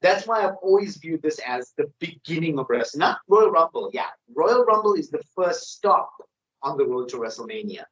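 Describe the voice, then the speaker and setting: A man's voice: fairly high pitch, expressive, moderate pace, a bit bright. A man co-hosting a wrestling predictions podcast in casual, opinionated chat. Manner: opinionated, ranting. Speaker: podcast co-host. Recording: dull lo-fi sound.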